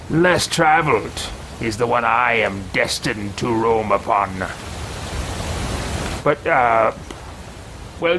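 A man performs a self-important fantasy mage from a video game role-play, muttering to himself in theatrical style. His voice is bright and forceful and swings widely in pitch. A light bed of noise sits behind him. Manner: theatrical, grandiose, muttering with hesitations. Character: pompous, scheming male mage who talks to himself. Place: small indoor room with shelves.

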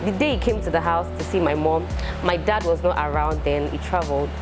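A young Nigerian woman, a shoemaker, chatting in an interview in a shoe showroom and telling her story with animated, repeating phrases. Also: low voice, brisk, music in the background. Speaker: shoemaker and entrepreneur. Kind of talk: casual interview chat.

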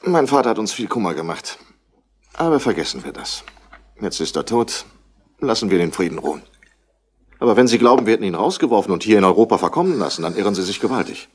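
A busy, self-important tycoon in a vintage radio crime play. The voice is on the low side and swings widely in pitch, with clipped, indignant delivery. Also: male voice, animated, quick pace, slightly rough. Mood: businesslike, firm. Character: brusque, busy rich Australian businessman, wool merchant's son. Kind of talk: 1960s German radio crime drama acting.

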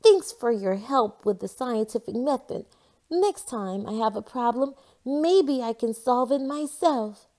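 A woman voicing a cheerful, earnest young boy in a storybook, with a simple, expressive manner. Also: a little high, a little slow, pitched above the performer's own voice. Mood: earnest, grateful. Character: Jasper, cheerful, grateful young boy with allergies, storybook friend.

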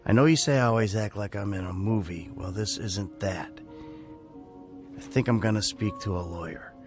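An actor playing a weary, sardonic middle-aged American criminal in a video game, with a dry way of talking. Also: male voice, on the low side, moderate pace, music in the background, natural voice. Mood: somber, reading aloud. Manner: dry, sarcastic. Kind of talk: video game cutscene acting.

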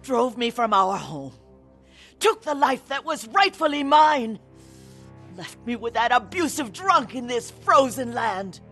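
An actress playing a bitter, resentful older noblewoman villain in a video game, deliberate and venomous in her words. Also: fairly low pitch, big pitch swings, husky, soft background music, full character voice. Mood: bitter rage. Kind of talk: dramatic game voice acting.

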